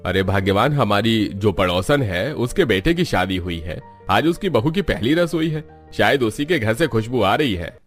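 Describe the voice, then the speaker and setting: A man's voice: on the low side, expressive, moderate pace, lightly acted. A man voicing an agreeable middle-aged village husband in a cartoon family drama, chatty and eager. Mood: explaining. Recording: music behind the voice. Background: music.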